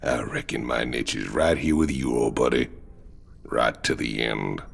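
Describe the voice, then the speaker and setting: An actor playing a gruff, boastful male mercenary with a drawl and a gravelly, husky voice in a game cinematic. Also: a bass voice, unhurried, heavily acted.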